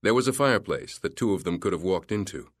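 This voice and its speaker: Low-voiced male audiobook narrator. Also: animated, no echo, clean studio sound. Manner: measured, descriptive storytelling. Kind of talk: scripted literary narration.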